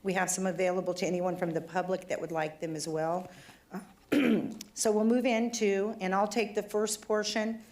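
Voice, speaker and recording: A woman police chief giving a formal presentation to a city council, with a voice that is going hoarse as she speaks in a plain, conversational way. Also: medium pitch, steady tone, moderate pace, a little mellow. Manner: conversational and plainspoken, some fillers. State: losing her voice, throat trouble.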